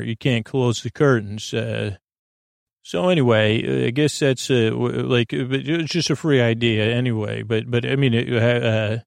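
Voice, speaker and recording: Creaky-voiced man rambling through a bedtime podcast. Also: mid-range voice, no room sound, studio quality. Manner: meandering, halting, stammering, often drifting off topic. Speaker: podcast host.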